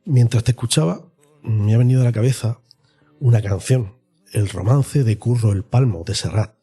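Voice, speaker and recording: A man's voice: mid-range voice, normal speed, dark tone, husky, faint music behind. A man hosting a podcast, asking questions in a conversational way. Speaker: podcast host, interviewer. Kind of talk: conversational interviewing.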